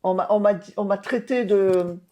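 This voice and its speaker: A woman's voice: medium pitch, normal speed, warm tone, muffled recording. A woman in her seventies, an outgoing mayor, answering interview questions emphatically with many hesitations and restarts. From France. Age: 70s. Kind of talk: local TV interview.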